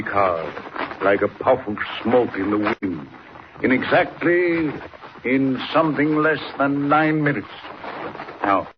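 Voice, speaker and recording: An actor playing a sinister, boastful professor in a 1940s radio drama, speaking in a measured, smug, theatrical way. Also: male voice, medium pitch, lightly acted. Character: sinister, smugly boastful male professor villain. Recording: noisy, dull old 1940s radio recording.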